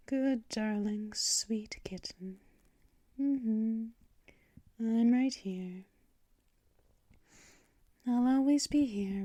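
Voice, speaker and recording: A doting, possessive vampire mistress, played by a woman, murmurs at a very slow, unhurried pace, often slipping into hushed whispers and soft shushing. Her voice sits a little high and is caught up close and dry, with no room around it, in the manner of an ASMR roleplay. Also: dry recording. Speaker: ASMR performer.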